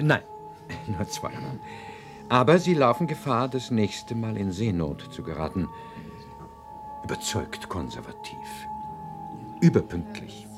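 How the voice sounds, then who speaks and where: An actor playing a courteous, flattering palm reader in a German radio play, delivering measured pronouncements with obsequious politeness. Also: male voice, lowish voice.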